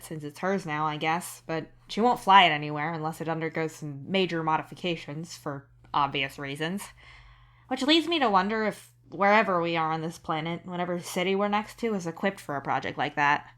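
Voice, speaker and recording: A woman's voice: sits low, lively intonation, a little fast. A woman playing a caring, earnest young male alien in audio fiction, speaking casually and openly. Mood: casual, rambling. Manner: soft-hearted, casual, open. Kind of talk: scripted audio fiction dialogue.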